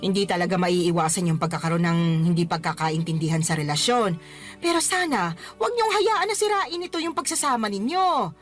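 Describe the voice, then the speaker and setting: A woman's voice: mid-range voice, big pitch swings, a little fast, natural voice. A woman acting a fond middle-aged mother who teases and scolds in an exclamatory way in a radio drama. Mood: advising. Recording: music under the voice. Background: music.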